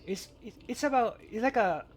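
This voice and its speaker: A man co-hosting a casual chat, talking haltingly and often repeating words as he goes. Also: very high-pitched, animated, a little hiss or hum. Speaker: podcast co-host. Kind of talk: casual chat about video games.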